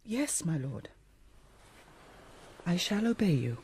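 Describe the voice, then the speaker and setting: An actress playing a gentle, submissive lord's wife in a period radio drama, meek and courteous. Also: female voice, on the low side, very animated, slow, slight background noise. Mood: meek protest.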